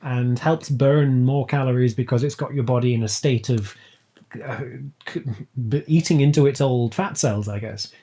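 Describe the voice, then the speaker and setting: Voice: mid-range voice, moderate pace, mellow. A person of twenty-seven chatting casually and bluntly as a guest on a podcast. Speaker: podcast guest, audio drama writer and producer. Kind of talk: casual chat.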